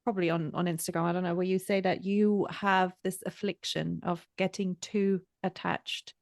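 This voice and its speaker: A woman hosts a podcast interview, talking in a reflective, personal way and often pausing to find her words. The recording is dry and close, with no room sound around her voice. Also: lowish voice, flat delivery, no echo. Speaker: podcast host. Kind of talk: casual interview conversation.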